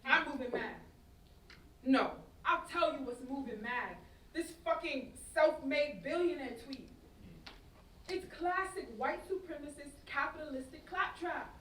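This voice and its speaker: Heard from a distance in an echoey space, a fiery young London woman, acted by a woman in a staged reading speaks in a high, bright voice. She is outraged and emphatic, mixing street slang with scholarly rhetoric. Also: unhurried. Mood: indignant. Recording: distant, echoey stage recording with background noise.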